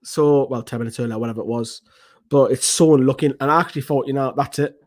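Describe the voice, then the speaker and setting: A man, hoarse from losing his voice, giving an excitable, rambling post-match football analysis as a fan channel host. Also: medium pitch, fast. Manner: excitable, swears freely, rambling restarts.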